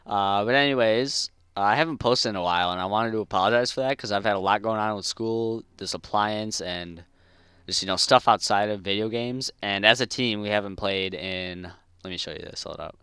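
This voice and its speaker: A man's voice: fairly low pitch, brisk, fairly bright tone, clean tone, dry recording. A teenage man giving casual commentary on his game setups, speech slightly slurred by a dental appliance, sick, rambling with lots of fillers. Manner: rambling, filler-heavy, informal gamer slang. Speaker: competitive gamer and video host. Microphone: gaming headset mic.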